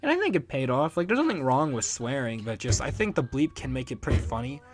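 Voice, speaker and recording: A man giving a casual, unscripted solo commentary as a creator, in a rambling, halting way and often chuckling. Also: mid-range voice, sing-song, slight background noise. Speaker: fan dub creator, commentator.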